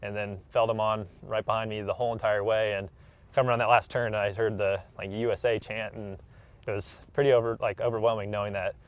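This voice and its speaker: A man's voice: lowish voice, flat delivery, fairly quick, dull audio. A young American man in his twenties, an athlete giving a casual interview in an earnest, modest way. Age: 20s. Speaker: track athlete being interviewed.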